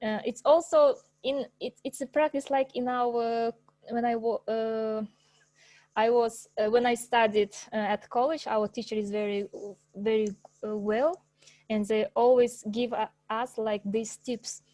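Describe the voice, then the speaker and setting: Slow, hesitant woman, Russian native. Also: a little high, fairly even intonation, darkish tone, no echo. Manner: hesitant, many fillers and restarts, laughs often. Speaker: presenter at an English club. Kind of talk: informal spoken presentation.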